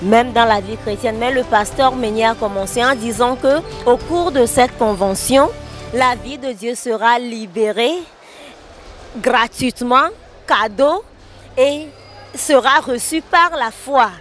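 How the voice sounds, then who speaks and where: A young woman gives an interview testimony into a handheld mic. Her voice sits a little high. Soft music plays faintly behind her. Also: unhurried. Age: young adult. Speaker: convention attendee. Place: large hall with rows of empty chairs. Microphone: handheld interview microphone.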